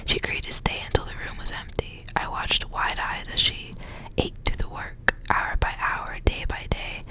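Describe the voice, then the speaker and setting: A woman speaking in a soft, breathy whisper, hushed like an ASMR reader sharing a story. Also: normal speed. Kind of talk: whispered ASMR story reading with casual asides.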